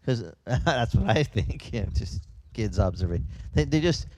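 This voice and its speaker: A man raised speaking Italian, preaching a sermon as pastor in a conversational, animated storytelling way, with quick asides and restarts. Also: mid-range voice. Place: outdoors.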